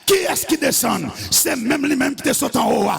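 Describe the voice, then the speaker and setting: A male preacher whose voice sits very high and rides a gravelly edge. He shouts and half-sings his lines in a rolling cadence, with faint music under a live sermon. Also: lively intonation, normal speed, long reverb tail. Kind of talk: fiery sermon.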